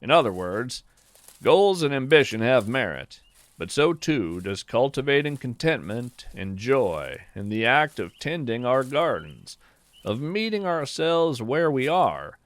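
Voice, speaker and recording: A man reading his own reflective, philosophical podcast essay in a calm, measured way. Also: medium pitch, no room sound. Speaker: podcast host and writer. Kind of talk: scripted reflective narration.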